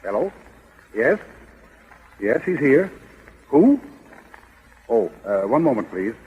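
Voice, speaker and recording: An actor playing a timid, guilt-ridden employee in 1930s radio comedy, hesitant, stammering and apologetic. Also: male voice, medium pitch, big pitch swings, takes it slowly, breathy edge, in a large hall, full character voice. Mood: anxious, puzzled. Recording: muffled, crackly 1930s radio broadcast.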